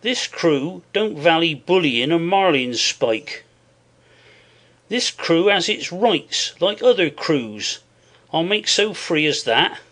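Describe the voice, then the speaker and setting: A man's voice: fairly high pitch, animated, takes it slowly, fairly bright tone, exaggerated voice. An actor voicing a surly, defiant male pirate sailor in his thirties, stiffly formal in an audiobook. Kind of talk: audiobook character voice.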